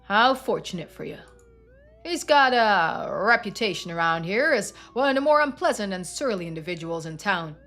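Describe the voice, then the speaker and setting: A man's voice: high-pitched, animated, normal speed, bright, forceful tone, full character voice. A woman voicing a stern, sardonic male police deputy. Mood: stern, exasperated.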